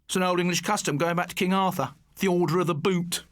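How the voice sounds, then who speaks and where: A man playing a high, taunting schoolboy bully. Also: fairly even intonation, quick pace, no echo, studio quality, close to an everyday voice. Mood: cheeky. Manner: taunting, insolent. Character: sneering schoolboy bully, cheeky class joker. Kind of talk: audiobook character voice.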